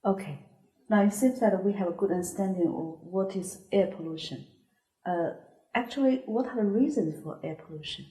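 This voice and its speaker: A woman hosting a student discussion and asking the group measured questions. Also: medium pitch, warm tone. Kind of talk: scripted discussion. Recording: echoey room.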